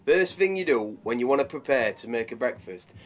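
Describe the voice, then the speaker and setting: An actor playing a crude, swaggering cook from Yorkshire with a Yorkshire accent, rambling and swearing. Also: male voice, medium pitch, expressive, a little fast, natural voice. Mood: brash rambling. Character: crude boozy Yorkshire lad cook, brash and lewd. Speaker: comic cook character. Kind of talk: improvised comedy sketch.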